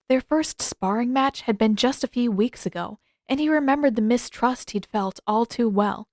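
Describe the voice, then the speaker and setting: A woman's voice: medium pitch, dry recording, studio quality. A woman reading scripted fiction as an audiobook narrator.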